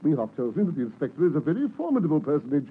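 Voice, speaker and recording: An actor playing an anxious, flustered provincial official in a vintage radio comedy, speaking fretfully and emphatically. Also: male voice, on the high side, very animated, rapid-fire, slightly rough. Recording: muffled vintage radio recording.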